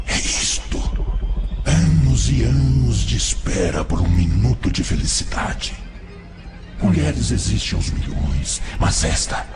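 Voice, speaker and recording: A man's voice: low voice, creaky, full character voice. A man playing a sly, seductive predatory spirit who persuades with insinuating rhetoric in an audio drama. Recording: loud music under the voice.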